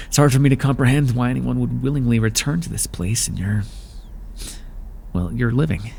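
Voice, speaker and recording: A man voicing a proud, weary male god of the underworld in an ASMR roleplay, with a dry, aloof manner and a quiet curiosity. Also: lowish voice, gravelly, slight background noise.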